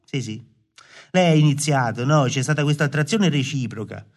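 A man's voice: medium pitch, normal speed, natural voice. Rambling southern Italian lovelorn poet, played by a man. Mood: wistful, confiding. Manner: rambling, confiding, self-absorbed, colloquial. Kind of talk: radio play acting.

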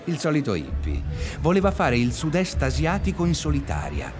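A man's voice: a little high, wildly swooping pitch, moderate pace, music in the background. An actor playing an easygoing, aimless man who narrates his summer conversationally in a radio drama. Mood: wry, relaxed. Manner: conversational, musing.

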